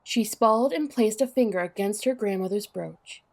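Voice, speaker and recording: A woman's voice: medium pitch, moderate pace. A woman narrating a scripted story for an audio drama.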